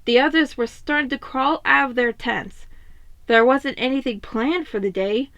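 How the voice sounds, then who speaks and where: A woman's voice: high-pitched, normal speed, fairly bright tone, dry recording. A woman narrating a scripted story expressively, as a fan fiction reader.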